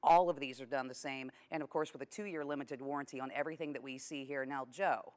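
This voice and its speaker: A woman's voice: extremely low pitch, fairly even intonation, fast talker, a little mellow. A woman co-hosting a car show, presenting products in a chatty, informative way.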